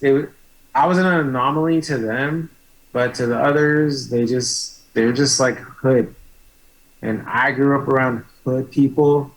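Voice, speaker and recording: A man speaking as a guest on a talk show, halting and filling pauses with "like" and "you know". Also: medium pitch, rough recording. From United States. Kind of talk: casual interview talk.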